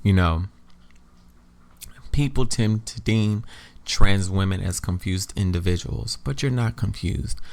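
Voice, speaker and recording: A man's voice: fairly low pitch, unhurried, gravelly, long pauses, darkish tone, pitched below the performer's own voice. A man playing a gallant, tender and protective boyfriend in a romantic audio drama, speaking earnestly and reassuringly.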